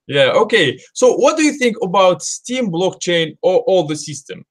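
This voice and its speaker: A man's voice: high voice, lively intonation, moderate pace. A man hosting an interview, asking short, simple questions with frequent fillers.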